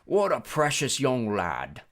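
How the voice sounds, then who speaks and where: A man's voice: mid-range voice, huge pitch swings, slow pace, a bit bright, voice pushed up, exaggerated voice. A man voicing a tall, elegant, coldly greedy ferryman of the dead in an audiobook, with a British accent and a condescending, mock-sweet manner.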